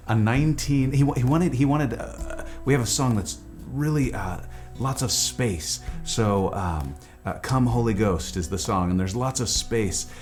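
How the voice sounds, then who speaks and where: A man's voice: deep voice, a little mellow. A man speaking casually and enthusiastically in an informal storytelling style, like a musician narrating a video diary. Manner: enthusiastic, informal, peppered with "like" and "dude". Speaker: musician narrating the making of his record; Anglican priest.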